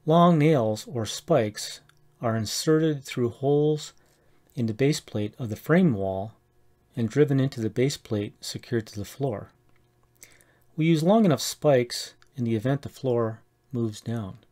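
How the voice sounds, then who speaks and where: Middle-aged man, mid pitch, measured scripted narration for a home-improvement tutorial. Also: mellow. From Colorado, USA. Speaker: homeowner explaining a DIY project.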